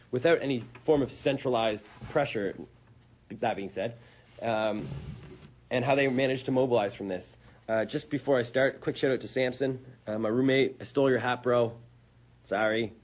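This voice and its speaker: A man's voice: medium pitch, darkish tone, breathy edge. A young man co-hosting a campus radio show, casually reading a history piece aloud and correcting small slips as he goes. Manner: reads steadily with small slips and self-corrections.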